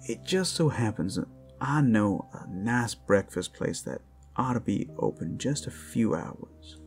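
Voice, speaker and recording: A man's voice: fairly low pitch, animated, unhurried, soft background music. A man voicing a playful, flirtatious and friendly werewolf in an ASMR roleplay, teasing a single listener. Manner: teasing, smooth-talking, addressing one listener. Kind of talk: ASMR roleplay, intimate character acting.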